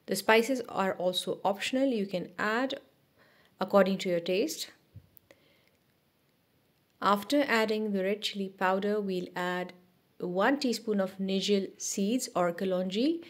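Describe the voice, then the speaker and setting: Woman talking thru a recipe step by step, mid-pitched voice, a few little restarts. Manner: steady step-by-step instructions with small restarts. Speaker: cooking channel host. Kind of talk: recipe voice-over narration.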